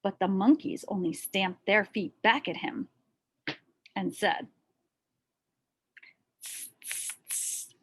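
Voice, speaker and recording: A woman reading a children's story aloud expressively, with deliberate pauses and dramatic emphasis. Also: mid-range voice, breathy edge. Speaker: storybook reader.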